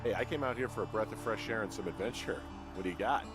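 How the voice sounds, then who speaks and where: An actor voicing an easygoing American fire lookout in a video game, conversational, joking and deadpan. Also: male voice, lowish voice, quick pace, close to an everyday voice, rough recording. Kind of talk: naturalistic video game dialogue. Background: faint background music.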